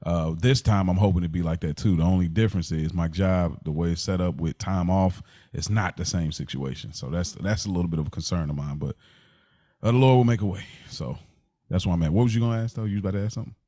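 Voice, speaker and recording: A young adult man speaks in a low register as a podcast co-host. His talk is casual and slangy, peppered with "like". The recording is dry and free of room sound. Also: fast talker, warm tone, no echo. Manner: colloquial slang, often saying "like". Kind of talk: casual chat.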